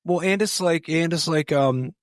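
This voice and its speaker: A man's voice: a little high, moderate pace, no echo. A man in his late thirties co-hosting a podcast, easygoing and full of fillers in casual chat. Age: 30s. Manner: easygoing, filler-heavy, laughs along. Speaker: podcast co-host. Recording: dry close sound.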